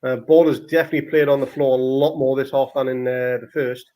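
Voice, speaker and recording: A man's voice: medium pitch, steady tone, fairly quick, darkish tone. A man hosting a live football watchalong, giving casual, partisan commentary on play as it happens, with exclamations. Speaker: football watchalong podcast host.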